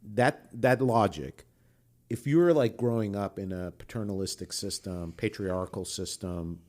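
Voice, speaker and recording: Male podcast co-host, lowish voice. Thoughtful, searching interviewer. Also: unhurried, a little mellow. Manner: thoughtful, feels his way through questions with fillers.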